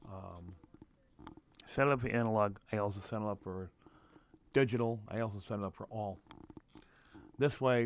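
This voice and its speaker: Gravelly low-voiced man thinking aloud. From New England, United States. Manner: halting, repeats himself, thinks aloud. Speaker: livestream host, radio scanner hobbyist. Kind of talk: unscripted livestream explainer, casual. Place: room at home. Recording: noticeable background noise, home livestream.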